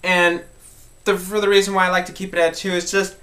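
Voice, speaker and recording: A man's voice: high voice, level tone, brisk, bright, forceful tone. Guy walking through a music lesson, informal, explaining stuff as he goes. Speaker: music tutorial host. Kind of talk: informal instructional talk.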